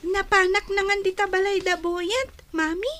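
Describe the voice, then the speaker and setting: A woman playing a lively little girl in a radio drama, with a Filipino accent. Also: very high voice, normal speed, noisy background. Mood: chatty, pleading.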